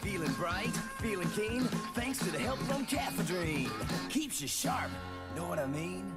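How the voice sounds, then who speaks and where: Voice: medium pitch, big pitch swings, a little slow. A commercial performer delivering a rhyming, first-person story in a radio ad. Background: guitar music.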